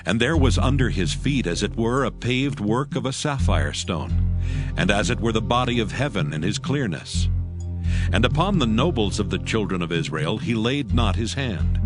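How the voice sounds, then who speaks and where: A man narrating scripture from a script in a solemn, measured manner. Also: on the low side. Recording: music behind the voice. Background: background music.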